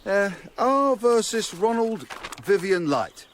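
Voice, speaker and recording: An actor playing a deferential, diffident city-bred assistant to a barrister in a radio drama. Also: male voice, high voice, lively intonation, slow, slight background noise. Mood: polite, apologetic.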